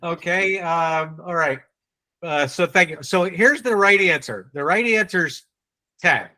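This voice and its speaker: A man with a New England accent leading a live online sales training, quizzing and teasing his audience in a brisk way. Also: a little high, lively intonation, pushed and bright.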